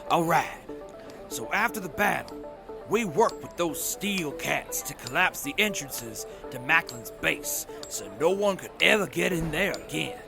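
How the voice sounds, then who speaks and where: An actor playing a laid-back male captain who talks in old hipster slang, with a gravelly voice. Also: fairly high pitch, animated, measured pace, music in the background. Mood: groovy. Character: groovy hippie-slang male army captain.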